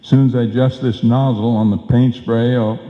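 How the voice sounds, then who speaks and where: A man's voice: medium pitch, little pitch movement, normal speed, close to an everyday voice. A man playing a bumbling, boastful husband in an old-time radio comedy, talking in folksy, slangy wisecracks. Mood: wisecracking, dismissive. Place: live performance in a reverberant hall. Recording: echoey hall, dull live stage sound.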